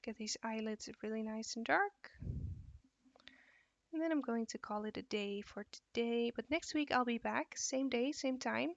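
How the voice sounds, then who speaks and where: A woman's voice: a little high, measured pace, vocal fry, no room sound. A young Dutch woman teaching drawing on a live stream, tired late at night, answering chat as she works. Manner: relaxed, reads and answers chat questions while drawing. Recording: dull, dry sound.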